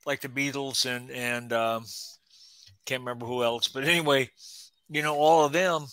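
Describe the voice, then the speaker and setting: A man's voice: mid-range voice, fairly even intonation, sharp tone, smooth, dry recording. A man chiming in agreeably during a casual livestream video call. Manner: agreeable, quick to chime in. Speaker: livestream guest.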